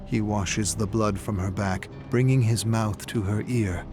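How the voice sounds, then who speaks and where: A man delivering grave, deliberate, theatrical narration of a dark fantasy story. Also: fairly low pitch, fairly even intonation. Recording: music behind the voice. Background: dramatic music.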